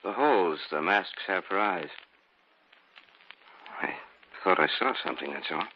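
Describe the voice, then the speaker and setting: A man's voice: low voice, animated, measured pace, slightly rough, heavily acted. An actor in an old radio drama playing a curious male friend who grows uneasy. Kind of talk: 1940s radio acting. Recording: muffled old radio recording with faint noise.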